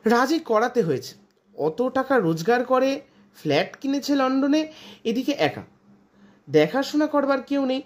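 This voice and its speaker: Lady doing a proud westernised barrister dad in a story, mixes in english words, animated pitch. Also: measured pace, pauses often, exaggerated voice. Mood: explaining, matter-of-fact. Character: anglicised status-proud father, a barrister seeking a bride. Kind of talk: acted storybook dialogue.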